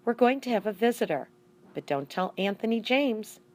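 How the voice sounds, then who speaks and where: A woman as a folksy storybook dad, pitched up. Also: lively intonation, normal speed, darkish tone, close to an everyday voice. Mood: announcing. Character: kindly, folksy husband and father.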